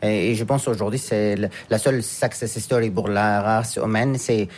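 A man from France, raised in Japan, answering interview questions in a halting way as he searches for words. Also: fairly low pitch, fairly even intonation, a little mellow. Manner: halting, searching for words, with frequent pauses and restarts. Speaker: guest, scientist and businessman.

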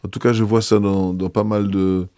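A man, a bishop answering interview questions in a measured way, pausing often with fillers and restarts. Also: on the low side, level tone, dark tone, no echo, studio quality.

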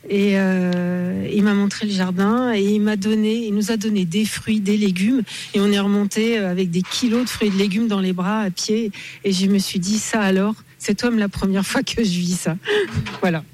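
A woman's voice: medium pitch, fairly even intonation, normal speed, darkish tone. A middle-aged woman from Paris, a guest in a casual outdoor interview at a building site, talking hesitantly with many fillers and restarts.

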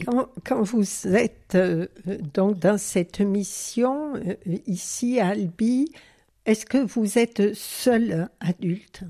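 A woman interviewer asking questions in a halting way, searching for her words. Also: mid-range voice, warm tone.

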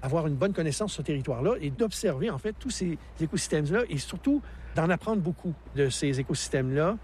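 A man's voice: a little high, animated, fast talker, darkish tone, slight background noise. A man in his sixties with a Québécois accent, a park naturalist explaining the mountain landscape with enthusiastic, teacherly energy. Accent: Québécois French. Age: 60s. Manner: enthusiastic, teacherly, laughs easily. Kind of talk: radio interview, explaining outdoors.

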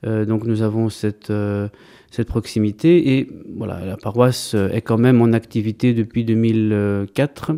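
Man in his 30s, priest being interviewed, low-ish mellow voice, halting delivery with lots of fillers. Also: steady tone. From Greece, raised in Belgium. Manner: hesitant, many fillers and restarts. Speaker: Orthodox priest. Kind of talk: interview answers.

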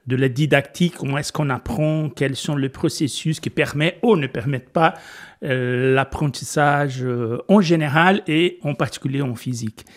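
A man's voice: mid-range voice, moderate pace. A middle-aged Brazilian man with a Portuguese accent, speaking French as a guest in a radio interview, hesitant with many fillers and restarts. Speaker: physics lecturer, guest.

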